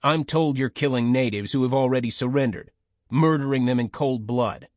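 A blunt military chief played by a male narrator with a short temper and an air of authority. His mid-pitched voice is dry and close, giving stern orders in an audiobook. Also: normal speed, a little mellow, no room sound, close to an everyday voice. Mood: angry, commanding. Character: commanding, hot-tempered male head of military enforcers.

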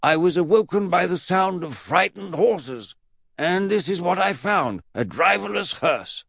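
A stern, eccentric old gentleman blusters in a pitched-up voice that leaps about. He is pompous and emphatic, every phrase proclaimed like a grand pronouncement in a comic storybook. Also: expressive, unhurried, gravelly, no echo, clean studio sound, heavily acted. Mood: urgent, blustering. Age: older. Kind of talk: comic children's audiobook character voice.

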